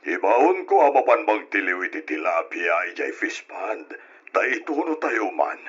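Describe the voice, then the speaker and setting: An easygoing older father in an old radio play, hearty and practical. His voice is bright but husky, slow, and lively in pitch. The sound is dull and lo-fi, as from an old radio broadcast. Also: heavily acted. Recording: lo-fi old radio drama recording.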